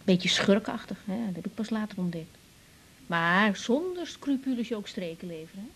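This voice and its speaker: An actress playing a sympathetic young woman in a radio drama, with a gentle, conversational, questioning manner. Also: mid-range voice, expressive, moderate pace, slightly rough. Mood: reminiscing. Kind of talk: naturalistic radio drama dialogue.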